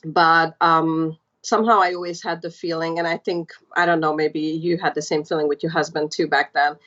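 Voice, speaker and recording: Guest spot: Hungarian-born woman in her thirties, low-set voice, chatty storyteller, muffled lo-fi line. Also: steady tone, moderate pace. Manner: chatty, warm storytelling with many fillers. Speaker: podcast guest. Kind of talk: casual interview chat.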